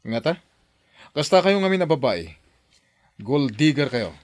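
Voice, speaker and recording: A man's voice: on the high side, big pitch swings, measured pace, a little mellow, no echo. A man as a bitter, accusing boss. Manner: sighing, resentful, raises voice. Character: bitter middle-aged male boss, suspicious and accusing.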